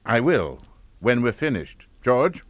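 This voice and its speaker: A middle-aged father rules a suspense radio drama with cold authority. He is clipped and matter-of-fact even when threatening, with a mid-pitched voice that rises and falls widely, recorded very dry. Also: slow, dry recording. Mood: commanding. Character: coldly commanding English father, calm, menacing, middle-aged patriarch.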